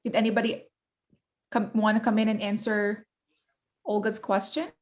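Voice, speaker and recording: A woman's voice: mid-range voice, flat delivery, normal speed. A woman chairing an online academic panel over a video call, hesitant and apologetic, with light laughs.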